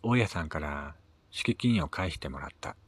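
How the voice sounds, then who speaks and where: A man narrating a first-person short story in a measured, dramatic reading with pauses. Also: a bass voice, lively intonation, breathy edge, no room sound. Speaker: amateur reader of his own short stories.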